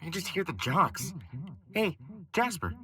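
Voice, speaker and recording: An actor playing a bookish, bullied schoolboy in an audio drama, complaining with self-mocking humor. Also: male voice, sits high, big pitch swings, measured pace, a crowd in the background. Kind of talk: cinematic audio drama acting.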